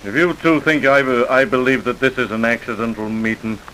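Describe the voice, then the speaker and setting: A man's voice: mid-range voice, steady tone, fairly quick, bright, forceful tone, lightly acted. A man playing a jealous, bitter husband in an old-time radio crime drama, stubborn and folksy in his accusations. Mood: jealous, accusing. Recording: hissy, noisy vintage radio recording.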